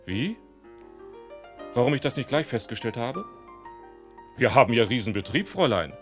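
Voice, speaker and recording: A chatty bartender in a radio drama, with music behind him. His mid-pitched voice moves up and down freely, polite, obliging and slyly wry. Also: very animated, moderate pace. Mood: wry, evasive. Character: chatty, obliging male bartender with sly humour. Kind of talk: German radio play acting.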